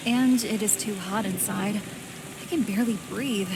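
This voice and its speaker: A woman's voice: medium pitch, moderate pace, lightly acted. A woman playing a frightened, unwell character in a video game, weak and short of breath. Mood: afraid, weak. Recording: rain and ambient noise.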